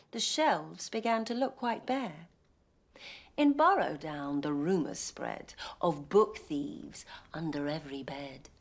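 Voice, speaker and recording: A woman in her thirties reading a rhyming bedtime story aloud in a studio, soft and hushed, often dropping to a whisper. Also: on the low side, breathy edge. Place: cosy studio set.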